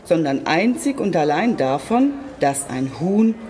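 A storyteller reading a children's tale aloud expressively and deliberately, addressing young listeners directly. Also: mid-range voice, very animated, a little mellow.

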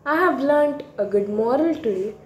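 A woman's voice: high-pitched, animated, moderate pace. A woman speaking scripted dialogue as the listener in a children's story. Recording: roomy, echoing voice recording.

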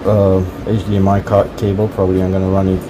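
A man casually walking through his home-built cockpit project, talking haltingly with many fillers. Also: low voice, completely flat delivery, noisy background. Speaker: hobbyist cockpit builder. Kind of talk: casual build walkthrough. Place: workshop.

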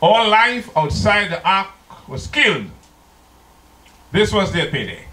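An older man from Trinidad, a pastor preaching a slow, deliberate sermon into a handheld microphone in a church, now and then singing hymn lines. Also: high-pitched, big pitch swings, sharp tone. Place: church sanctuary. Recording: echoey church room.

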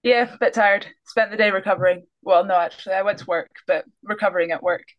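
A woman's voice: medium pitch, quick pace, muffled recording. A young Scottish woman talking casually as a guest on a video call, easygoing and often trailing off with a laugh. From Scotland. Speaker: guest basketball player. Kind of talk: casual interview. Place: small room indoors.

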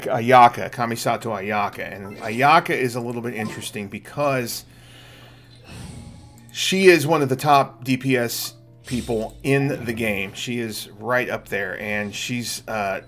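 A man's voice: mid-range voice, a bit bright, a little hiss or hum. A middle-aged man giving casual live gameplay commentary, explaining things to viewers as he streams. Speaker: game streamer and host. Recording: game music behind the voice.

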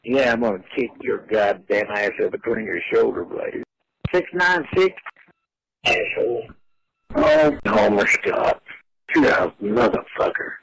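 A man's voice: medium pitch, measured pace, a bit bright, no room sound, rough recording. A foul-mouthed, confrontational man talking crudely over the telephone. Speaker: prank caller played from a soundboard. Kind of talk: crude phone chat.

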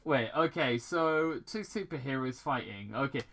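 A casual reader of fan fiction who breaks off for commentary. The delivery is halting, with repeated words, and the medium-pitched voice swings very widely. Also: lively intonation, creaky, a bit bright. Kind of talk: casual read-aloud with off-script commentary.

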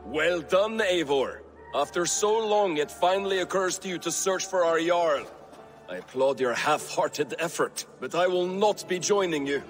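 A scornful middle-aged Viking warrior from a video game. His sarcastic voice is high for a man and carries a long hall reverb. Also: animated, measured pace, faint music behind. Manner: sarcastic, contemptuous. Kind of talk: video game cutscene acting.

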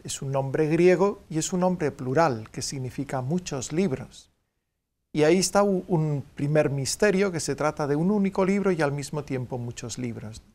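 A middle-aged man answers as a studio interview guest. His voice sits a little high, and he explains in a measured, didactic way with some hesitation. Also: darkish tone. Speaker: guest, priest and Bible professor. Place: dark TV studio at a table. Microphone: studio microphone on a stand.

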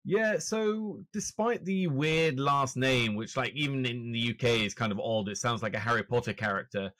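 A man's voice: medium pitch, normal speed, fairly bright tone, no room sound. A man in his forties from Norway who speaks with a British accent, chatting as a guest on a video-call podcast, self-deprecating and full of restarts. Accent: British English. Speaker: guest author and finance journalist. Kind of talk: conversational interview. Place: home room with shelves, indoors. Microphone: podcast microphone on stand, video call.

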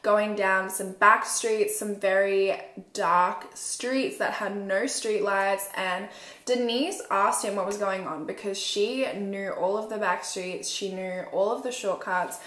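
A woman's voice: medium pitch, fairly even intonation, slow, fairly bright tone. A woman, telling a true crime story conversationally in a furnished room. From Australia. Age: 20s. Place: small furnished room indoors. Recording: roomy indoor echo.

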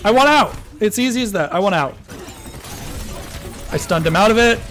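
A young man bantering casually with friends while gaming, excitable and quick to swear. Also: sits high. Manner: excitable, foul-mouthed. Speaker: gamer streaming with friends.

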